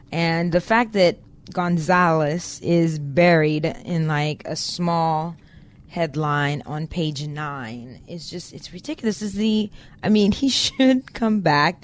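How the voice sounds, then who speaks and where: Lady cohost on talk radio, lowish voice, quick dry comebacks. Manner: quick, wry banter. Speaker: radio co-host. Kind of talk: casual chat.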